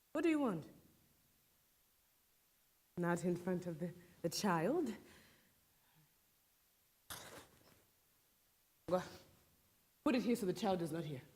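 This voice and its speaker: A woman playing a proud, bitter Nigerian village woman accused of witchcraft in a theatrical stage monologue, with a Nigerian accent, taunting delivery and loaded pauses. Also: on the low side, very animated, darkish tone. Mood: wary, hushed. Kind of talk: solo dramatic stage monologue.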